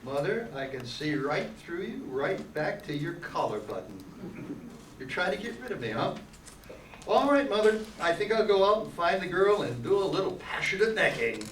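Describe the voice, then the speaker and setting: A man's voice: sits high, big pitch swings, normal speed, a bit bright. An amateur actor playing George Bailey, a restless, stubborn young small-town man in an old-time radio drama. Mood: teasing. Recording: distant echoey room, noisy hiss.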